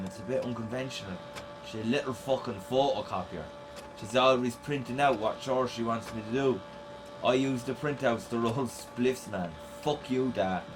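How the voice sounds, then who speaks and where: A man's voice: mid-range voice, lightly acted. An Irish man playing a sardonic, slangy teenage punk boy reading out his zine in a radio play. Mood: flippant, bitter. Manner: irreverent, slangy, sweary.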